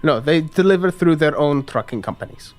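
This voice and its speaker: Here is a crime boss as a man acts him out at a game table. His male voice sits fairly high and he speaks in clipped, formal, businesslike statements. He sounds indifferent to everyone else's problems, with faint music underneath. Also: animated, brisk, close to an everyday voice. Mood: curt, indifferent. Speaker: game master. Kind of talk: in-character tabletop roleplay.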